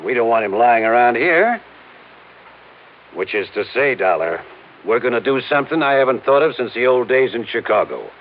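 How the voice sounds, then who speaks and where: An American actor playing a smug, sardonic gangster boss in an old-time radio crime drama, mock-polite and commanding. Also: male voice, mid-range voice, lively intonation, normal speed, close to an everyday voice. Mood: menacing, sardonic. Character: cocky, sardonic male gangster boss, menacingly cheerful. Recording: tinny, hissy vintage radio recording.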